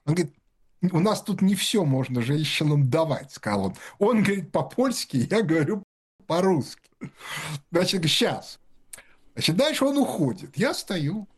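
A man's voice: fairly high pitch, slightly rough. A man telling rambling anecdotes in casual talk-show conversation, full of fillers and restarts. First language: Russian.

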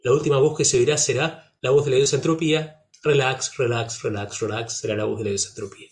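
A man's voice: medium pitch, fairly even intonation, fast talker. Uruguayan guy w rioplatense accent chatting about his music, thoughtful, lots of "este" and "no?". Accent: Rioplatense Spanish. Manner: reflective, uses many fillers and tag questions. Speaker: musician, interview guest. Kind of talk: relaxed conversational interview.

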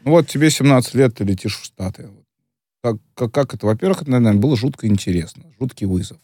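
A man's voice: mid-range voice, animated, fast talker, warm tone, no echo, studio quality. A man co-hosting an interview, asking curious, leading questions. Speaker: co-host.

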